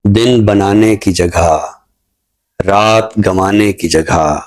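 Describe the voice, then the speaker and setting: Low-voiced Pakistani man, measured and scholarly. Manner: measured, formal, scholarly, with pauses mid-sentence. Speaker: literary talk show guest and book reviewer. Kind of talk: unscripted book commentary and poetry recitation.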